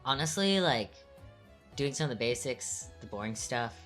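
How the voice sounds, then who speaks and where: A woman's voice: rumbling low, expressive, moderate pace, faint music behind. A woman co-hosting a livestream, chatting casually and laid-back while her sibling animates, with many "like" fillers. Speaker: streamer co-host.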